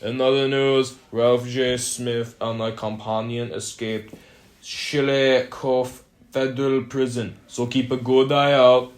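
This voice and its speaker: Flat, formal young male newsreader. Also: mid-range voice, takes it slowly. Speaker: co-host and newsreader of a mock radio broadcast. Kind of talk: scripted newsreading, amateur mock 1927 radio broadcast.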